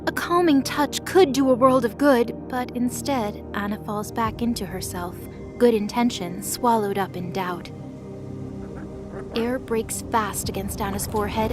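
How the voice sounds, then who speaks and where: A woman narrator reading a scripted mythological drama as story narration. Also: a little high. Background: music.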